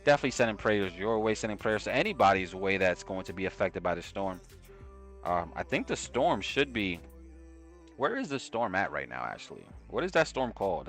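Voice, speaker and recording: Low-voiced slangy New York man. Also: fairly bright tone. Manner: slangy and conversational, often tags "right?". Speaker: live-stream trading host. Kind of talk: casual live-stream chat with viewers.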